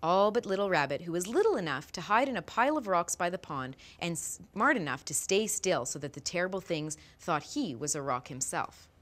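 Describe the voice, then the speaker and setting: A woman teacher reads a picture book aloud to her class. Her low voice rises and falls widely as she tells the story. She reads slowly and expressively. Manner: expressive storytelling, slow and lively.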